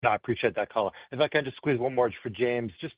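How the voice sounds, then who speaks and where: A man's voice: mid-range voice, fast, no echo. A man working as a financial analyst, asking questions on an earnings call over the phone. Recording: tinny, dry phone line.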